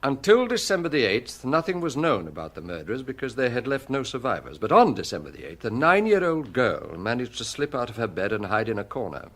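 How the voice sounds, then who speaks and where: Relentless police detective, swooping delivery. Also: male voice, medium pitch, wildly swooping pitch, fairly bright tone. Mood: grim, pressing. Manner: insistent, sardonic, deliberate. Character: relentless, hard-edged male police detective interrogating a suspect. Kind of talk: radio drama acting.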